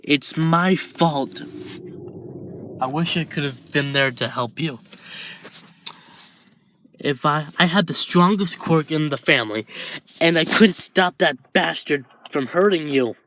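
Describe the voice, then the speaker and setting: A man voicing a guilt-ridden young man who blames himself, pleading, with his pitch climbing as his voice breaks. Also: fairly even intonation, a little slow, vocal fry, fairly bright tone, slight background noise. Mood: anguished.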